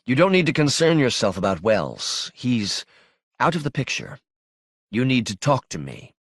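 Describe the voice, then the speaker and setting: Male narrator as cold killer, controlled, insistent, precise, menacing, medium pitch, dry sound, audiobook villain. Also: very animated, normal speed, no echo. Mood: coldly menacing.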